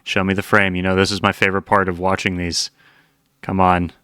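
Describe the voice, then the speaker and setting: A man giving casual reaction commentary as a channel host, thinking aloud in a halting way. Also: sits low, flat delivery, pushed and bright, clear, resonant tone, no echo, studio quality.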